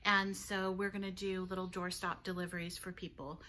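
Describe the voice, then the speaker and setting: Bright, rambling woman shop owner. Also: lowish voice, level tone, fairly quick, slight background noise. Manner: rambling, giggly, lots of fillers. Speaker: yarn shop owner, co-host. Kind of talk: casual chat. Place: yarn shop, indoors.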